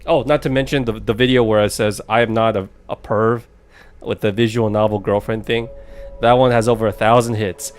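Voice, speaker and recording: A man's voice: fairly low pitch, steady tone, fairly quick, smooth. Chatty male game streamer over faint music. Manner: chatty, musing aloud, reacting to game and chat. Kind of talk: casual let's play commentary.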